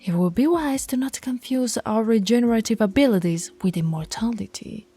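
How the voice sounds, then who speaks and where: Woman doing a bossy alpha werewolf lady, dark mellow voice, scolding n taunting, asmr roleplay style. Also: a little high, expressive, normal speed, breathy edge, faint music behind. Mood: stern, scolding. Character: commanding female alpha werewolf, stern, teasing pack leader.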